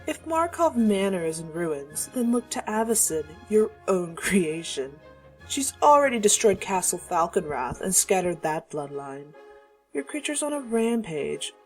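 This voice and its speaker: A woman's voice: medium pitch, very animated, unhurried, soft background music. A woman playing a haughty ancient vampire hostess in a fantasy audio drama, taunting in a playful, theatrical way. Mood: disdainful, threatening.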